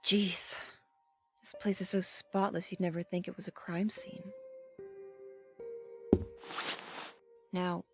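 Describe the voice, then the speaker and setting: A woman's voice: fairly low pitch, little pitch movement, unhurried, over music. A woman playing an assertive, suspicious police captain in an audio drama, dry and pointed in manner. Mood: wry, musing.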